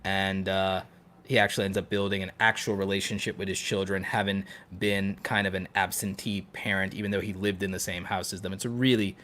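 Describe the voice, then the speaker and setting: A man with a fairly low voice co-hosts a casual podcast. He talks in a rambling, enthusiastic way, gushing as he goes along in loose conversational phrases. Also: little pitch movement, a little fast, a bit bright. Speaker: podcast co-host.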